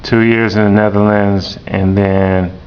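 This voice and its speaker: A man, a teacher, interviewing a student slowly and repeating each answer back. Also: level tone, a little mellow. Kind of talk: classroom interview. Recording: dull lo-fi recording with rumble.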